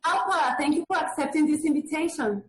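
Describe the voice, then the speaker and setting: A woman's voice: high voice, fast. A woman hosting a client interview for a law office, politely reading prepared questions. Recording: echoey room with faint background noise.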